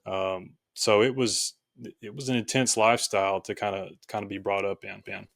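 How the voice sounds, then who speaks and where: American guy on a podcast, lowish voice, dry room, thinking out loud as he explains. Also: level tone, normal speed, gravelly, no room sound. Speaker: podcast guest, author. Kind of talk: conversational interview.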